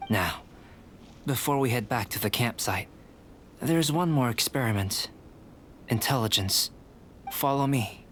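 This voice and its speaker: A man playing a composed young male alchemist in a video game, speaking in a measured, formal, detached and polite way. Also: medium pitch, animated, fairly bright tone, a little hiss or hum. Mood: calm, sincere. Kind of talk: scripted video game character dialogue. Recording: game music behind the voice. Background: clear background game music.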